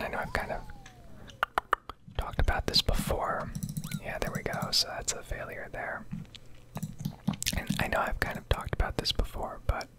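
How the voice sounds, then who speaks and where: A woman doing ASMR commentary over gameplay, rambling and explaining in a whisper at a close microphone. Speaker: gaming video creator.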